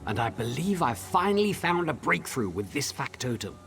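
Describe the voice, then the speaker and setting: An actor playing an eager, articulate tinkerer-scholar in a fantasy video game, speaking theatrically. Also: male voice, medium pitch, big pitch swings, normal speed, music in the background. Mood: musing. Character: eager male tinkerer-scholar, enthusiastic about his research.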